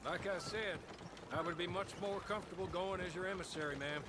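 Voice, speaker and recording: An actor playing a cautious, courtly aide in a Western video game, speaking in a formal, polite and careful way. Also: male voice, sits high, fairly quick, natural voice. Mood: concerned. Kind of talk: video game cutscene acting. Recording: faint background noise.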